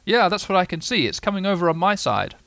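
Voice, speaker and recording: A man's voice: high-pitched, sing-song, quick pace, vocal fry, a bit bright, no echo, pitched above the performer's own voice, heavily acted. A man voicing a simple, good-natured male toy bear in a storybook, earnest, naive and hesitant. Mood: keen.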